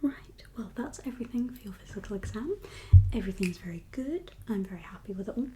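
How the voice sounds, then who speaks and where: A woman whispering an ASMR medical roleplay as a kindly doctor, gentle and reassuring in an unhurried, professional way. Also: mid-range voice, expressive, slight background noise. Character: kindly doctor for undead patients. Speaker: ASMR performer playing a doctor.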